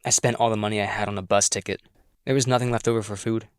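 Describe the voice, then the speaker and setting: A man's voice: fairly low pitch, fairly even intonation, quick pace, clear, resonant tone, no echo, studio quality, natural voice. A man acting the role of an angry, resentful ghost of a teenage boy in a screenplay table read, with a sullen, bitter delivery. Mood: subdued.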